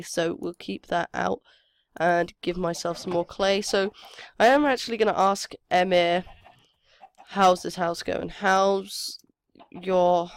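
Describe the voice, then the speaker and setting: A woman with a cold, her voice sniffly and cracking, chatting hesitantly and thinking aloud as she commentates her own game. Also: lowish voice. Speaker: gameplay commentator.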